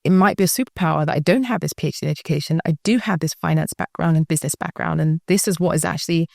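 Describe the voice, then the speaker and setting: A woman's voice: low-pitched, very fast, vocal fry, darkish tone, no room sound, clean studio sound. A woman from the UK with a British accent, answering interview questions in a reflective, conversational way and often checking that she is understood. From United Kingdom. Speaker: school founder, guest.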